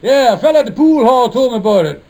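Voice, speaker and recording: A man's voice: extremely high pitch, very animated, quick pace, mellow, heavily acted. A man playing a blustery, scheming small-time con man and lodge leader in a 1950s radio comedy. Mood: scheming, eager. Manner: blustery, hesitant when plotting. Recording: noisy vintage 1950s radio recording.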